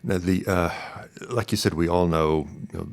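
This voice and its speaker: A man hosting a conversational interview, feeling his way through questions in halting, thoughtful phrases. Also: deep voice, fairly even intonation, darkish tone. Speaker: podcast host, interviewer.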